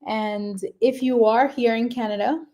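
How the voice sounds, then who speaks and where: A woman's voice: on the high side, steady tone, measured pace, warm tone. A woman co-hosting a live webinar, opening it in a casual, friendly way while waiting for viewers to arrive. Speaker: webinar co-host.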